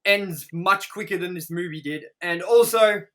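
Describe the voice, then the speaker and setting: A man's voice: high voice, moderate pace, fairly bright tone. An Australian man hosting a podcast, talking casually and crudely in a ranting, swear-heavy film review. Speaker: podcast host.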